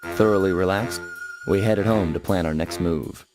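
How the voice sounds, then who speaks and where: A man's voice: sits low, normal speed, over music, natural voice. A man voicing a cocky, laid-back young raccoon thief in a video game, breezy and casual. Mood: calm, reflective. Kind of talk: video game cutscene acting.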